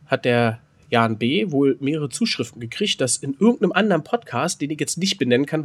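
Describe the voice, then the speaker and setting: A man chatting casually as a podcast co-host, telling stories in a colloquial, emphatic way. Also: medium pitch. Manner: colloquial, emphatic, mild swearing. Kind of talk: casual podcast chat and storytelling.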